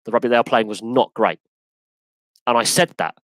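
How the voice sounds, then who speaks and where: A man with an English accent hosting a live sports podcast Q&A, talking in an animated, emphatic way that often restarts and repeats itself. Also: mid-range voice, brisk, no echo. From England. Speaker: podcast host. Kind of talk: live Q&A rant.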